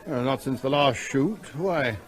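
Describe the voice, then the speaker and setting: An actor playing a breezy, unbothered middle-aged country landowner in a radio drama, dry and offhand in manner. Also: male voice, mid-range voice, lively intonation, a little slow, lightly acted. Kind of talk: naturalistic radio drama acting.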